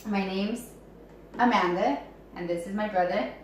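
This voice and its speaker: A woman's voice: medium pitch, unhurried. Chatty woman in an echoey room. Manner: playful, chatty, bantering. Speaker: co-host of book club video. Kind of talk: casual sibling banter. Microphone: camera mic at a distance. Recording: echoey room, distant mic, some noise.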